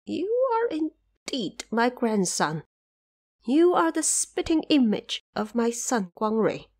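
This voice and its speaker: A woman's voice: fairly high pitch, very animated, slow pace, a little mellow, full character voice. A woman voicing a tender, grieving old grandmother in an audio drama, speaking with emotion. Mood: tender, moved.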